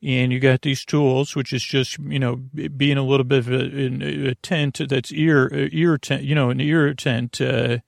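A man hosting a bedtime podcast in a rambling, halting monologue, whimsical and gently reassuring, often repeating himself and trailing off. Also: medium pitch, flat delivery, gravelly, no room sound, clean studio sound. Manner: meandering, halting, repeats and trails off mid-sentence. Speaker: podcast host.

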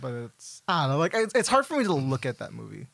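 A man co-hosting a podcast, chatting casually about films and games in a halting way, peppered with "like". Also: medium pitch, wildly swooping pitch, gravelly, a bit bright, no room sound. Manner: halting, heavy use of "like". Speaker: podcast co-host.

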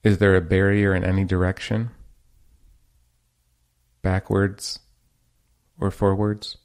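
A man leading a guided meditation as host, giving gentle, measured instructions with pauses between phrases. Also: low-pitched, level tone.

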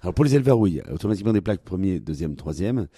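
A man with a very deep, dark, mellow voice explains the rules of a competition in an interview. The sound is dry and close, and he pauses often on fillers. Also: very animated, husky, no echo. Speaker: competition organizer.

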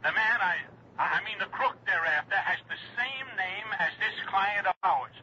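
A man playing a fussy, excitable American insurance agent on a filtered telephone in a 1960s radio drama. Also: high-pitched, moderate pace, lo-fi sound, exaggerated voice. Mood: urgent, excited. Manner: fussy, stammering, breathlessly insistent. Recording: thin, noisy old radio phone effect.

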